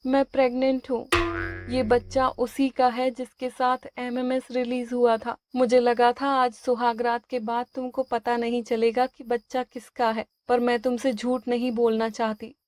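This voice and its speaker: A woman's voice: on the high side, level tone, a little slow, darkish tone, lightly acted. A woman voicing a guilt-ridden young bride in an audio story, pleading and apologetic. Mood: remorseful.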